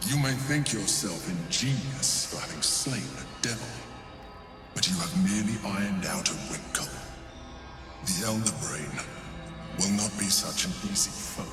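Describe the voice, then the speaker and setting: An actor playing a grave, calculating male guardian in a video game, speaking in a measured, stern and formal way. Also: lowish voice, over music, lightly acted. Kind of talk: video game drama acting. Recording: echoing, long reverb.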